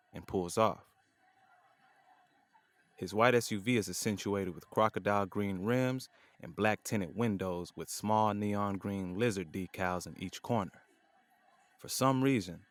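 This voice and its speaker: A man narrating a scripted audio drama in a measured, descriptive way. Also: low voice, dry recording.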